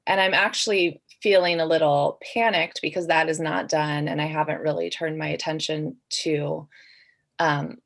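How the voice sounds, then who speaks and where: A woman's voice: sits low, steady tone, moderate pace, vocal fry, fairly bright tone. A middle-aged woman, a debut novelist, talking casually as a guest on a literary panel.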